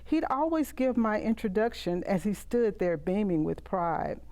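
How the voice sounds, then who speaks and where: Mellow middle-aged Southern US woman poet. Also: medium pitch, very animated, unhurried. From Mississippi, United States. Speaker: poet and author reading own poems. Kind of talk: poetry recital with spoken introductions.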